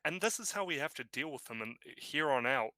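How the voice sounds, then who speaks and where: A man co-hosting a podcast, speaking haltingly with frequent restarts. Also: a little high, lively intonation, sharp tone, no echo. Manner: halting, many restarts and fillers. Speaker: podcast co-host. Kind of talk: casual political chat.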